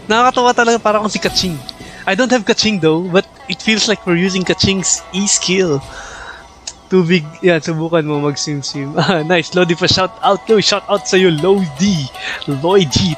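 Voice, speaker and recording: Filipino male streamer, a bit high-pitched, excitable, yelps and laughs often. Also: fairly quick, soft background music. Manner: excitable, bursts into shrieks and laughter. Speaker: game streamer. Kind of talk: live gameplay commentary mixing Tagalog and English.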